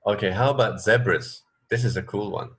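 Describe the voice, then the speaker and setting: Low-pitched man chatting on radio. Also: fairly quick, fairly bright tone, breathy edge. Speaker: radio co-host. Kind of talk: casual radio chat.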